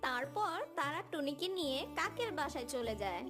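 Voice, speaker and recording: Female narrator for children's stories: very high, animated delivery over clear background music. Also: moderate pace. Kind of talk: scripted children's story narration.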